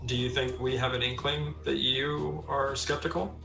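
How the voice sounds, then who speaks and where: A man's voice: mid-range voice, fairly even intonation, normal speed, vocal fry, bright, forceful tone. A man playing a tabletop game, talking casually as he reflects on his character. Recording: roomy echo, faint music.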